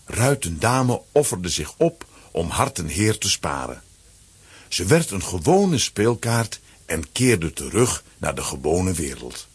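A man with a husky, rough voice reading scripted narration for a children's story. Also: low-pitched, sing-song, slow pace, no echo.

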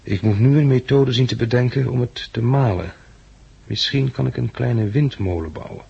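Husky weary homesteading man. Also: mid-range voice, moderate pace, warm tone. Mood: measured, reflective. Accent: Dutch. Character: practical, weary man homesteading after catastrophe, self-deprecating. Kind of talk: 1960s radio drama acting with diary narration. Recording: dull 1960s radio recording.

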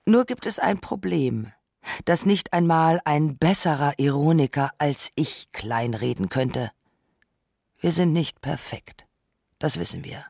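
Gravelly woman reading a radio essay. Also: sits low, expressive, unhurried, darkish tone, dry recording, studio quality. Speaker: radio play performer. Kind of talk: scripted literary radio essay, dramatic reading.

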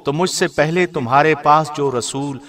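A man giving a formal, scripted reading of a scripture translation in a measured, solemn manner. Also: on the high side, fairly bright tone. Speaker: translation reader, radio host.

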